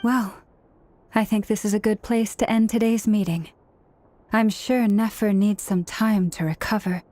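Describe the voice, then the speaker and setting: An actress playing a considerate, composed young woman in a video game, speaking gently and politely. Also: mid-range voice, fairly even intonation, measured pace, slight background noise, close to an everyday voice. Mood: considerate, calm.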